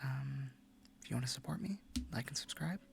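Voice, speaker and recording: A man speaking softly and informally to his listeners as an ASMR creator, a little hesitant. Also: medium pitch, fairly quick, creaky, a little hiss or hum. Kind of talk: soft-spoken casual outro to listeners.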